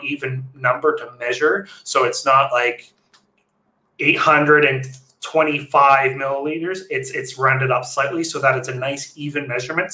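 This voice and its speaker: Man, thirties, informal webinar lecture, crisp bright voice, roomy webcam sound. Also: mid-range voice. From Canada. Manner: informal, with fillers and restarts. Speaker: brewery consultant presenting a webinar. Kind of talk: slide presentation lecture. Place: home room, indoors. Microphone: webcam video call. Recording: echoey room on a webcam mic.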